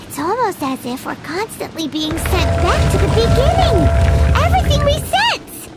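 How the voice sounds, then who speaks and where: A tiny, excitable female fairy from a video game. The voice is extremely high, squeaky and childlike, with lively swoops. Loud music plays behind it. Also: moderate pace. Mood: flustered, excitable. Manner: speaks of herself in third person, exclaiming.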